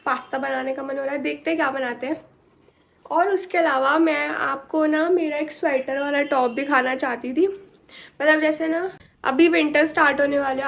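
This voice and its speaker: Young woman vlogger from India, sore throat, high gravelly voice, rambling chat. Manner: chatty, rambling, many fillers. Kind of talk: casual chat to camera. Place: at home in her bedroom.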